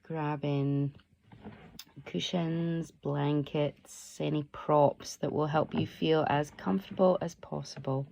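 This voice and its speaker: Slow, low-voiced woman guiding meditation. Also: steady tone, creaky, dark tone, a little hiss or hum. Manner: soothing, unhurried, permissive. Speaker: yoga nidra teacher. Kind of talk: guided meditation, unscripted gentle instruction.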